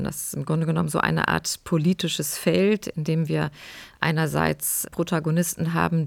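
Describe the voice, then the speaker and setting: A woman giving explanatory commentary as a history expert, in measured academic phrasing. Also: deep voice, gravelly, darkish tone, no room sound, studio quality.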